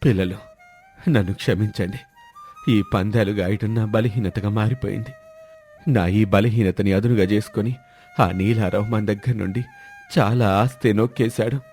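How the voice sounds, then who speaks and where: A man's voice: lowish voice, fairly even intonation, moderate pace, long pauses, darkish tone, lightly acted. Man voicing a pleading older village father. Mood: remorseful. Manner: pleading, apologetic. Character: remorseful older village father, weak for gambling. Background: background music.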